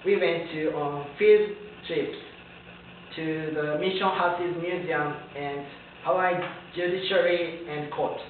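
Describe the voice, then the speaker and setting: A young man from Japan, a native Japanese speaker, giving a prepared student speech in careful, halting English with a Japanese accent. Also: a little high. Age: young adult. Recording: tinny, echoey distant recording with faint noise.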